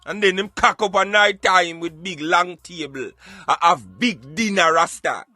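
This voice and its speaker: A man's voice: high-pitched, unhurried, bright, forceful tone, dry recording, faint music behind. A man with a Jamaican accent hosting a radio show, giving emphatic, colloquial satirical commentary in a conversational way. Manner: emphatic, colloquial, addressing listener as brethren.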